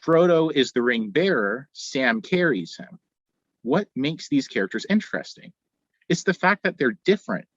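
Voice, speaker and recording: A man's voice: medium pitch, big pitch swings, moderate pace, fairly bright tone, no room sound. Earnest man, slightly dull dry recording. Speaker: storyteller and writer. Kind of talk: earnest reflective discussion.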